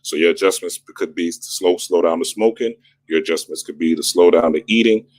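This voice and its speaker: A man with a deep voice hosting a spiritual talk, casual but insistent, stacking repeated phrases for emphasis. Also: a little fast, darkish tone, no echo. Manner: repetitive, preacher-like emphasis. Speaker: spiritual astrology channel host. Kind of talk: casual motivational monologue.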